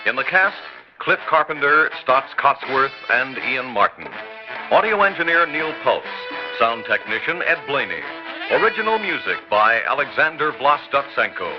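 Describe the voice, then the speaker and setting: A male radio announcer reads credits in a formal, measured voice with a lively rise and fall. The broadcast sounds dull and dated, and music plays clearly behind him. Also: medium pitch.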